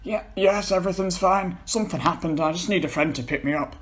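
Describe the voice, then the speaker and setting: Man acting a distressed, high-strung young man. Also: fast talker, close to an everyday voice. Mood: shaken, anxious. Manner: stammering, pleading, swearing. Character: distressed, tearful young man, pleading then defiant, sulky. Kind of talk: amateur dramatic reading of a story.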